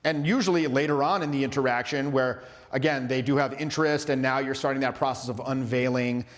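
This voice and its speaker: A man coaching social skills in a playful, energetic workshop style, loose and full of filler words and quick restarts. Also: mid-range voice, big-room sound. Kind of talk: energetic live workshop teaching.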